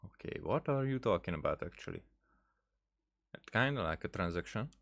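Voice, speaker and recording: Low-pitched male gaming streamer, halting delivery. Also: animated, fairly bright tone. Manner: halting, repeats words while thinking aloud. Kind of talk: casual game playthrough commentary.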